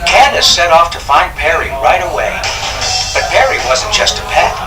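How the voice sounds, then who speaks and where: A man narrating a children's read-along storybook in an animated, scripted storytelling manner. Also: a little high, normal speed, echoey room, distant mic. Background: music.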